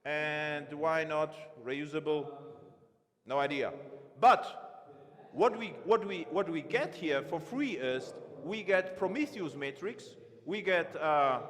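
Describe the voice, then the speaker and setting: A man giving a live-coding conference talk from a large stage, halting and correcting himself as he types. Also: on the high side, a bit bright. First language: not Romanian. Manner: halting, self-correcting, thinks aloud while typing. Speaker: conference speaker. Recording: long reverb of a big hall.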